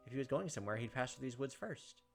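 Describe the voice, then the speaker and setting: A man's voice: medium pitch, expressive, fast talker, gravelly. A man hosting a playthrough, reading a visual novel aloud as emphatic dramatic narration with casual asides. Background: game music.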